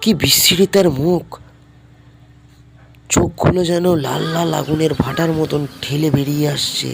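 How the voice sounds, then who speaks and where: A man's voice: a little high, steady tone, moderate pace, creaky. A man narrating a scripted horror story in storytelling fashion. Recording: loud music under the voice. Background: loud background music.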